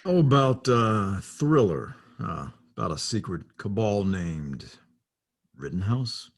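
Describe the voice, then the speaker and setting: Man playing a husky, low-key guy. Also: very animated, slow pace, exaggerated voice. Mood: hesitant. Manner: hesitant, understated. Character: hesitant, low-key male undercover team member. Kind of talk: amateur table read of TV drama script.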